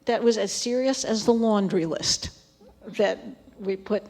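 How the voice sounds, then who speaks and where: A woman's voice: medium pitch, unhurried, darkish tone. A woman author on a panel, talking informally in a scholarly discussion at an indoor event.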